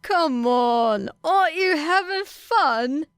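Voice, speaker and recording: Woman playing a smug, slow-drawling male gambler. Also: high voice, lively intonation, no room sound, clean studio sound, pitched above the performer's own voice, heavily acted. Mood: smug, coaxing. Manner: crowing, drawn-out, condescending. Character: smug, gleeful male gambler, drinking and obnoxious.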